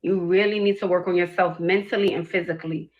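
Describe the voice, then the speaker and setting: A woman's voice: fairly low pitch, fairly even intonation, quick pace, phone-quality sound. A woman hosting a livestream advice session, speaking directly and firmly but with affection. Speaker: relationship advice livestream host.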